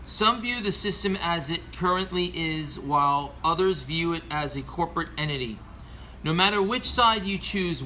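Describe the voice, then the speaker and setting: Male American commentator, sharp bright tone, formal scripted delivery with stumbles, faint background noise. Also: on the high side, low-quality recording. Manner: earnest, formal reading with stumbles over words. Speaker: aviation commentator. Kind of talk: scripted opinion commentary read aloud.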